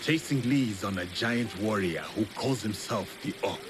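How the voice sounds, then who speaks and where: An actor playing a steadfast, grave samurai warrior in a video game, speaking solemnly and formally. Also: male voice, lowish voice, moderate pace, noisy background, lightly acted. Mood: resolute. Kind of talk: video game cutscene acting. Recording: loud music behind the voice.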